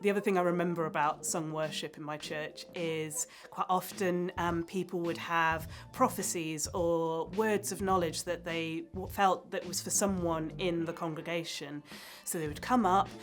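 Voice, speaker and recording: Woman from Wales, English accent, lowish voice, reflective church testimony, soft music underneath. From South Wales. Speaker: church team member. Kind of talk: casual personal reflection.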